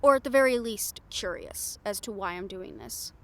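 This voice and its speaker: A woman's voice: medium pitch, moderate pace, a bit bright, lightly acted. A woman playing a brash, cynical, foul-mouthed reporter in an improvised audio roleplay, with a blunt, sardonic manner. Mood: teasing, wry.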